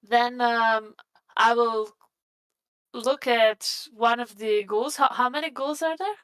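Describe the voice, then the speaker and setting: A woman's voice: a little high, steady tone, takes it slowly, a bit bright, clear, resonant tone, poor audio. A woman playing a tabletop game, talking casually and asking questions to check the rules.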